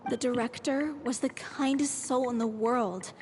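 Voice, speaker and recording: Woman as a high-voiced, serious young troupe actress. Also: steady tone, measured pace, noisy background, close to an everyday voice. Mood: bitter. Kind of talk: video game voice acting.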